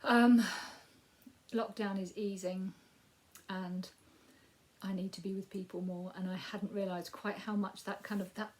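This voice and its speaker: Woman, 50s, Yorkshire accent, croaky voice, rambling casual chat, frequent ums. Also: mid-range voice, fairly even intonation, measured pace, a little mellow. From Yorkshire, England. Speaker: crafting podcast host. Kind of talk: casual chatty crafting update.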